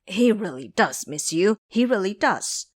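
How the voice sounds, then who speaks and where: A woman's voice: medium pitch, big pitch swings, measured pace. Woman playing a sulky, bumbling male pig monster. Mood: pleading, coaxing. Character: foolish, whining, pouty male pig monster disciple. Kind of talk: audio drama acting.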